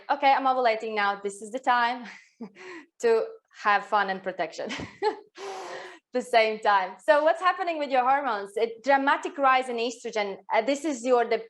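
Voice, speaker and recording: A woman from Latvia with a Latvian accent co-hosts a live online workshop, her voice sitting a little high. She talks in a chatty way, laughs easily and keeps turning questions to the audience. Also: normal speed. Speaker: workshop co-host.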